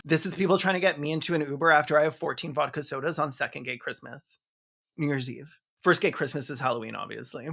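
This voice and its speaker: A mid-pitched video essayist recaps a movie for comedy. The delivery is sardonic and theatrical, with playful mock seriousness. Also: quick pace, creaky, a bit bright, a little hiss or hum. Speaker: video essayist and film reviewer. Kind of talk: sarcastic comedic recap commentary.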